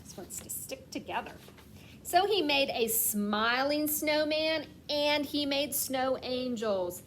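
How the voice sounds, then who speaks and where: A woman reading a picture book aloud like a kindergarten teacher, stressing words expressively and putting questions to the children. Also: sits high. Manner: asks questions and pauses as if children answer; expressive storytelling with slowed, emphasised words. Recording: clear background noise.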